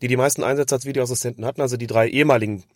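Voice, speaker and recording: Man, hoarse with a cold, fast-paced, dry close sound, podcast chat. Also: mid-range voice, fairly even intonation, no echo. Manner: analytical, rambling explanations. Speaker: podcast co-host discussing refereeing.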